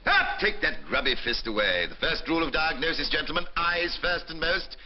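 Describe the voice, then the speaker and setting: A man's voice: on the high side, expressive, moderate pace, noisy background, natural voice. An actor playing a pompous, bullying senior male surgeon who barks orders at junior doctors. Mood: lecturing. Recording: dull old film soundtrack with faint music.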